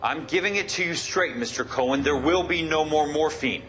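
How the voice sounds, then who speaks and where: An actor playing a young war veteran in a game cutscene, speaking in a measured, courteous and unyielding way. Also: male voice, a little high, fairly bright tone, faint music behind, close to an everyday voice. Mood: polite, resolute. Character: firm, polite young male war veteran. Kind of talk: video game cutscene acting.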